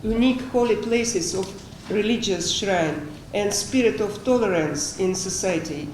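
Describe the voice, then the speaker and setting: A woman reads a formal statement in a medium-pitched voice. The conference room gives it a clear echo, with faint noise behind. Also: a little slow, a little mellow. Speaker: conference speaker.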